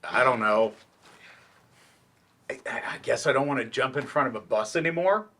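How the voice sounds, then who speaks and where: A man's voice: mid-range voice, expressive, moderate pace, pushed and bright. A man reading the part of a dejected, sarcastic writer turned teacher in a staged play, dry and wordy. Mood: defensive. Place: library event room, staged reading.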